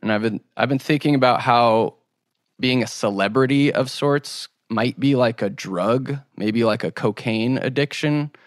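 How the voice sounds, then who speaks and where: A man hosting a podcast interview, asking long conversational questions in a measured, thoughtful, tentative way. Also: medium pitch, no room sound, studio quality.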